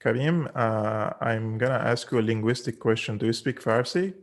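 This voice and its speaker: A man hosts a remote interview, framing long questions with plenty of fillers and asides. His voice is mid-pitched for a man, and his manner is easygoing and conversational. He sounds like he is speaking over a video call. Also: normal speed. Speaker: host, interviewer.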